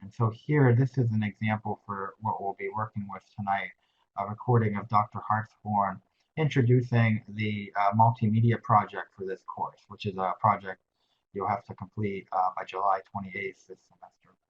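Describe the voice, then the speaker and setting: A quiet-voiced young man gives a screen-share tutorial with a lowish voice and frequent hesitations. The sound comes through a dull, muffled webcam mic, with a faint hiss underneath. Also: little pitch movement. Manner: soft-spoken, halting with many uh fillers. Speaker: teaching assistant. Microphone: webcam microphone.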